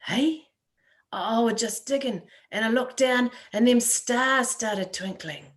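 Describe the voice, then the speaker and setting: A woman voicing a dazed, excitable country boy who talks insistently in rustic dialect in a literary story. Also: fairly high pitch, slow. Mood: awed.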